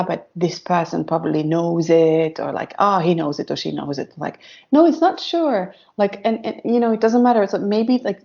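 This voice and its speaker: Woman guest, fairly low voice, halting second-language English, dull lo-fi sound. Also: warm tone. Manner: hesitant, searching for words, many fillers. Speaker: synth pop singer, guest. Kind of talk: casual interview chat.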